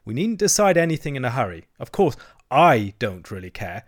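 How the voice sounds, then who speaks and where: A man voicing a boastful, excitable male toad in a storybook, with a pompous, theatrical manner. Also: medium pitch, normal speed, natural voice. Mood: coaxing. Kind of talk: storybook character acting.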